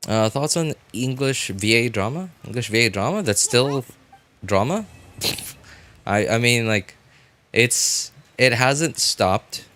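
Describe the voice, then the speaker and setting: A man's voice: medium pitch, animated, slow, sharp tone. A man chatting casually as a game streamer, thinking aloud in a rambling, repetitive way. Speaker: game livestreamer. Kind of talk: casual livestream chat.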